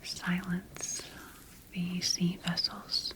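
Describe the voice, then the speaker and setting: A woman whispering breathily in an ASMR sci-fi roleplay as a scientist studying her creation, speaking deliberately with pauses. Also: on the low side, little pitch movement. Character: quietly rogue female scientist studying her engineered creation. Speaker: ASMR performer.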